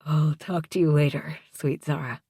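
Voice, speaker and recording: A woman voicing a sleepy, smitten young man with a soft mumble, in an audiobook romance. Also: low voice, steady tone, moderate pace, husky, no echo, studio quality. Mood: sleepy, tender.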